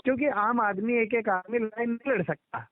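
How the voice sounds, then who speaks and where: A man's voice: extremely high pitch, normal speed, no room sound. A man explaining a procedure insistently over the phone, repeating his instructions and talking over others. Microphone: telephone line. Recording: muffled band-limited phone call recording.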